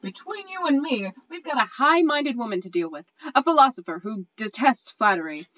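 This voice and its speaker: Woman as a sly gentleman rival, lively and high. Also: fairly quick, no room sound. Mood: arch, confiding. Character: sly, suave male friend and former rival. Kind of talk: cold-read comedic stage play. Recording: dull lo-fi sound.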